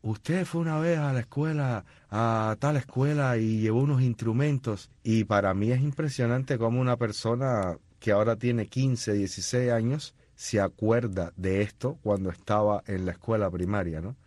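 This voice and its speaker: A man's voice: medium pitch, normal speed, hardly any pauses, a little mellow, no echo. A man being interviewed about his music and school shows, telling long stories with frequent restarts and fillers. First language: not Spanish? no. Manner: storytelling with many restarts and fillers. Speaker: musician guest. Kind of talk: conversational interview.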